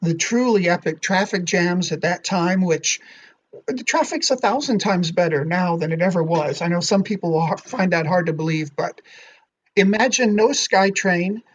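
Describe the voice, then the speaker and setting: Measured male author explaining calmly. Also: high-pitched, highs cut off. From not Thai. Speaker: author guest. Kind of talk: podcast interview.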